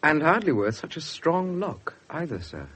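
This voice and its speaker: Earnest young British detective, very animated, husky. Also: male voice, fairly high pitch, moderate pace, full character voice. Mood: measured narrating. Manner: formal narrating, indignant in dialogue. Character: earnest, easily shocked young male police detective narrator. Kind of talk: 1970s British radio drama narration and acting.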